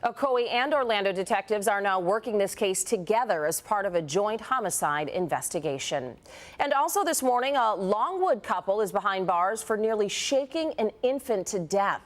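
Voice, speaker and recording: A woman anchoring a local morning TV newscast, reading stories clearly and steadily. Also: medium pitch, lively intonation, moderate pace. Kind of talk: scripted news reading.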